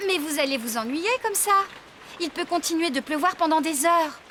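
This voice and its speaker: A woman voicing a brisk, practical young woman farmer in a French children's cartoon, with an expressive, organising manner. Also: sits high, background noise, close to an everyday voice. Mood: encouraging. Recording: loud music behind.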